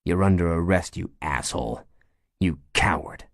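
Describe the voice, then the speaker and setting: A man voicing a steady male police chief who gives firm, level orders. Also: medium pitch, normal speed, pitched above the performer's own voice, close to an everyday voice. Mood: calm.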